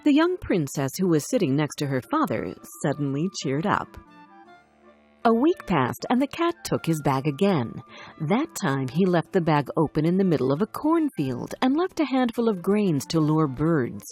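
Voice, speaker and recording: A woman narrates a children's tale from a script. Her voice is somewhat low and husky, with highly varied intonation. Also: big pitch swings, moderate pace, a little mellow. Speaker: storyteller. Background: faint music.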